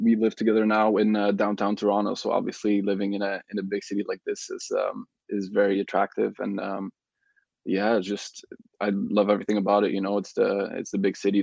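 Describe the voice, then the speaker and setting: Man, young, from Belgium, gravelly, flat delivery, halting answers, dull dry remote audio. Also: lowish voice, dry recording. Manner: halting, many restarts and fillers. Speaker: guest soccer player. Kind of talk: casual interview answers.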